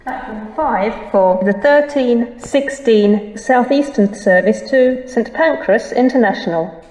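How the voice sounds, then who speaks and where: A woman's voice: a little high, slow pace, far from the mic. A woman with a British accent making formal, automated railway platform announcements in segmented phrases over a station's public address system. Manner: formal, segmented phrasing pieced from recorded fragments. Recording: echoey station tannoy from afar, loud noise. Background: station ambience, train and vehicle noise.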